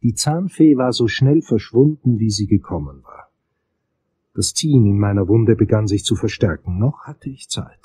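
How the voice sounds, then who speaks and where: A man plays a hard-boiled investigator narrating a dark crime story. His voice is fairly low and husky, with dramatic, suspenseful delivery suited to audio drama. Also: expressive, normal speed. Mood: tense, brooding.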